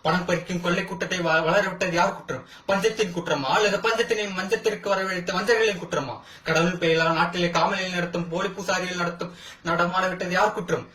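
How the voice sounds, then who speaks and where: A man's voice: sits high, fairly even intonation, bright, forceful tone. Man acting impassioned wronged brother in court, echoey. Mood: fiery accusing. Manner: declamatory, rhetorical, impassioned, with dramatic pauses. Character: wronged, defiant brother pleading his case in court. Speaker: amateur actor practising. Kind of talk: theatrical Tamil film courtroom monologue. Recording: echoey room.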